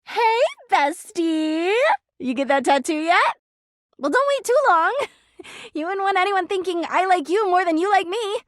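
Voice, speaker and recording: An actress voicing a needy, volatile, lovesick living laundry hamper in a video game, melodramatic and possessive in manner. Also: female voice, extremely high pitch, unhurried, no echo, clean studio sound. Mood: sweet, clingy. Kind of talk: video game character acting.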